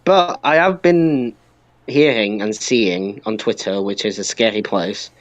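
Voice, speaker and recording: A man's voice: fairly low pitch, unhurried, no room sound, lo-fi sound. A man hosting a wrestling podcast, chatting casually and arguing with exclamations.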